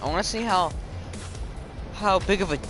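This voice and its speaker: Teen guy, low voice that cracks sometimes, rambly gaming commentary, music going behind him. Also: moderate pace. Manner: rambling, self-correcting, chatty with a friend. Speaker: gaming video host.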